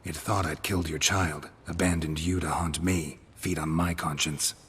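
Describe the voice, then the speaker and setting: An actor voicing a calm, grizzled male monster hunter who talks in a terse, dry, matter-of-fact way. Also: rumbling low, moderate pace, slightly rough, heavily acted.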